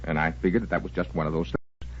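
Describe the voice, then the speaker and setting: An actor playing a blunt, halting, self-deprecating veteran in a 1940s-style radio soap. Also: male voice, low voice, steady tone, slight background noise, natural voice. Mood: resentful. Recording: muffled vintage radio drama recording.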